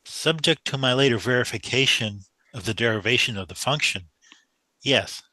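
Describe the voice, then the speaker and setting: Male actor as young bewildered recruit, deferential, cautious, crisp bright tone, close dry recording, radio drama. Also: medium pitch, moderate pace, no echo, poor audio. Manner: hesitant, polite, cautious.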